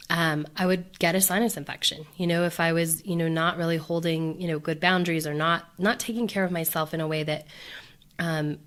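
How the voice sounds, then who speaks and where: Podcast host lady, kinda low voice from a cold, rambly and upbeat, lots of um and you know. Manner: chatty, upbeat, many fillers and restarts. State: deeper voice from a cold. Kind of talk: casual solo podcast talk.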